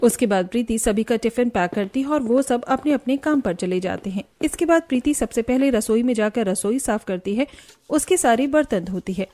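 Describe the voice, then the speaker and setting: A woman's voice: a little high, animated, moderate pace, mellow. A woman reading scripted narration for a cartoon family story.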